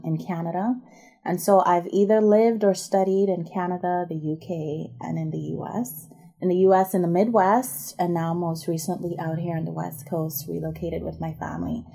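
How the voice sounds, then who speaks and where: Woman from the bahamas, 30s, warm mellow tone, chatty and upbeat, lowish voice. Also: fairly even intonation, moderate pace, creaky, husky. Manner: articulate, upbeat, explanatory. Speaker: podcast guest, MBA student and barrister. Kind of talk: conversational interview.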